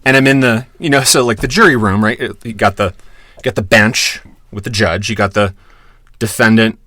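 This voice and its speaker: Male podcast co-host, lower voice, animated storyteller who swears freely, dry studio sound. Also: normal speed, no echo. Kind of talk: casual storytelling chat.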